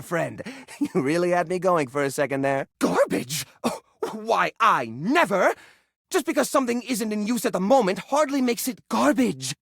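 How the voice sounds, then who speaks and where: A man voicing an eccentric, cheerful hoarder in a video game, theatrical and excitable, rambling like a proud tour guide. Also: high-pitched, dry recording, clean studio sound, heavily acted. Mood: gleeful, flustered, then offended, emphatic. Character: eccentric, cheerful male hoarder personifying a junk drawer. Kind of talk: animated video game voice acting.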